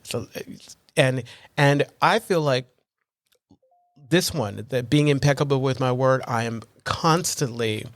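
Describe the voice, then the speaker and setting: A man co-hosting a recovery podcast in casual chat, talking in an animated, rambling way full of restarts and self-deprecating humor. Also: medium pitch, a little slow, no room sound. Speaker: podcast co-host.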